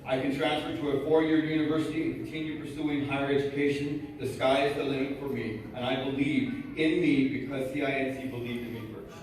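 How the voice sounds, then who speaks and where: A man's voice: medium pitch, fairly even intonation, brisk, hardly any pauses. A man giving a nervous prepared speech as a student at a college gala, hesitant with many fillers and reading from notes. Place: large event hall. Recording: echoey hall from far off, faint noise.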